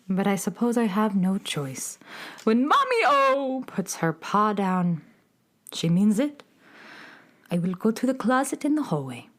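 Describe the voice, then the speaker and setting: A woman's voice: medium pitch, very animated, slow, pauses often, fairly bright tone, full character voice. A woman playing a melodramatic beatnik cat-girl from the Moon in a children's radio play, with grand, world-weary pronouncements. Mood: weary, resigned.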